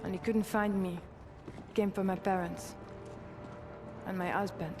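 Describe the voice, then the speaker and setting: A woman's voice: mid-range voice, level tone, over music, natural voice. A woman playing a grim French Resistance fighter. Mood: grave resolve. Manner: slow, grave and determined. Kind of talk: video game cutscene acting.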